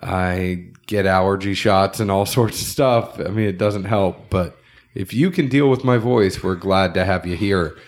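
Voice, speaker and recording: Nasal, low-voiced man hosting a podcast. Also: a little slow. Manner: relaxed, chatty, reads ad plugs loosely. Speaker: podcast co-host. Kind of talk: casual podcast chat.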